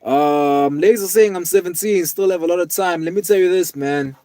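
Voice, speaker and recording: A man in his twenties, tired, chatting casually with viewers on a live stream in a relaxed, rambling way with lots of fillers. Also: high voice, normal speed, no room sound, clean studio sound. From South Africa. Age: 20s. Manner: laid-back, rambling, many fillers, calls viewers man and bro.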